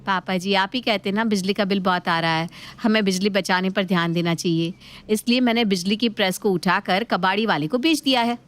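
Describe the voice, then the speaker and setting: A woman voicing a cheeky young daughter-in-law in an animated moral story, explaining things with mock-polite innocence. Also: medium pitch, brisk, natural voice. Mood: mock-innocent.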